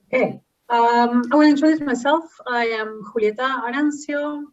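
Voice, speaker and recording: A woman from Argentina, a social science researcher, introducing herself at a conference in a relaxed way with fillers, over a video call. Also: on the high side, moderate pace. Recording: distant, dull-sounding call audio.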